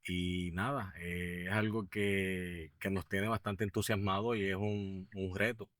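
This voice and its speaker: A man in his fifties with a Puerto Rican accent, a guest in a podcast interview, talking with many pauses, fillers and restarts. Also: sits low, level tone, a bit bright, no echo, clean studio sound. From Puerto Rico. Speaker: guest, business owner.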